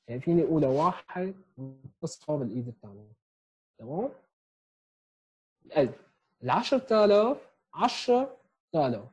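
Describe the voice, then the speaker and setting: A man's voice: fairly high pitch, dark tone, slightly rough, muffled recording. Young Syrian man teaching over earphones. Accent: Syrian Arabic. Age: 20s. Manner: explains step by step, checks in with "okay?" often. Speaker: sign language teacher. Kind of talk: online lesson. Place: small room at home, indoors. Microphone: earphone headset mic on a video call.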